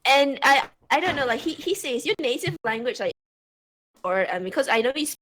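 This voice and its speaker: Lively, high-voiced woman co-host. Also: brisk. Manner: animated, questioning, laughs easily. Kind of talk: casual chat.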